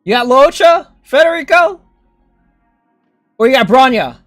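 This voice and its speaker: A casual game streamer who thinks out loud and talks with chat in an informal, animated way. The pitch moves around a lot. The sound is dry, with faint music underneath. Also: measured pace, no echo. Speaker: game livestreamer. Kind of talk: casual gaming stream chat, thinking aloud and replying to viewers.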